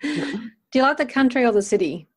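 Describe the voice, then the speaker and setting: A woman's voice: high-pitched, lively intonation, brisk. A woman hosting a casual interview in an encouraging, affirming way.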